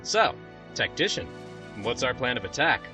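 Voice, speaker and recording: Casting: good-natured young male commander, actor-played, animated pitch, middle register, audio drama. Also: moderate pace, over music, lightly acted. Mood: businesslike. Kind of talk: fan audio drama acting.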